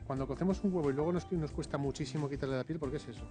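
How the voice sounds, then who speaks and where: A male cooking show host with a fairly high voice talks at a rapid clip. He jokes and needles his guest with quick questions. Music is clearly audible behind him in the studio kitchen. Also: warm tone. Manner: teasing, asks quick questions. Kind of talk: playful banter.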